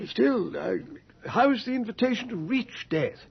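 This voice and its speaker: An actor in a radio drama playing a pompous, skeptical high-society gentleman with clipped phrasing. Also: animated, moderate pace, gravelly, heavily acted. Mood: dry, skeptical. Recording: dull 1980s radio drama recording.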